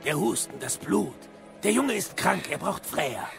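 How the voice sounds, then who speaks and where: A man's voice: high-pitched, expressive, a little slow, vocal fry, soft background music, heavily acted. A man playing a chatty, wise, wry guide companion who explains things in a video game audio drama. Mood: concerned. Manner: talkative, explanatory.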